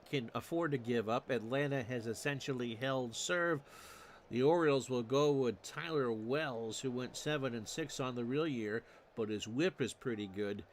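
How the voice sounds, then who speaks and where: A middle-aged man hosting and calling a simulated baseball game, folksy and quick to correct and joke about his own slips. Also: mid-range voice, a little hiss or hum. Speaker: host and play-by-play caller of a simulated baseball replay. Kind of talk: solo tabletop sports simulation commentary streamed live.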